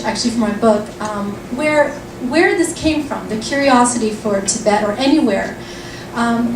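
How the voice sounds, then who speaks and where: A woman from Vancouver hosting an event and reading from her book, chatty and full of ums and restarts. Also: on the high side. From Vancouver, Canada. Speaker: event host and author. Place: lounge event room, indoors. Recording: roomy lounge, mic far off, noisy.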